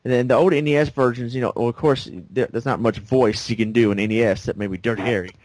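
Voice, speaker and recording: Casting note man, mid pitch, rambling casual game talk, chuckles a lot, dry close mic. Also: fairly even intonation, quick pace, darkish tone, dry recording. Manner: rambling, laughs often, filler-heavy. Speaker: co-host of a game review show. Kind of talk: casual gaming discussion.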